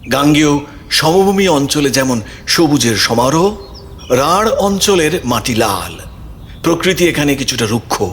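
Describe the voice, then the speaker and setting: A man's voice: mid-range voice, a bit bright, a little hiss or hum. A man reading scripted narration for a suspense audio story as its narrator. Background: background music.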